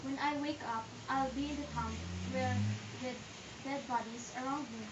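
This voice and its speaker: A lovesick teenage girl, played by a woman, pleads anxiously in a high voice. The mic is far off in an echoing room, and the sound is dull with light noise. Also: fairly even intonation, moderate pace, lightly acted. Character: desperate teenage girl in love.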